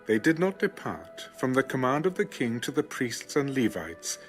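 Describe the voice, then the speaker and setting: A man narrating scripture from a script in a measured, formal way. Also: medium pitch, big pitch swings, soft background music. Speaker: narrator of an audio Bible.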